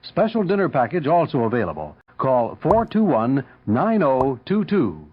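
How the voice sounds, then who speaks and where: A man's voice: mid-range voice, big pitch swings, normal speed, breathy edge. A man reading a vintage radio commercial in an emphatic, salesman-like announcer style. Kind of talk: 1970s radio advertisement read. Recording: dull lo-fi old radio broadcast.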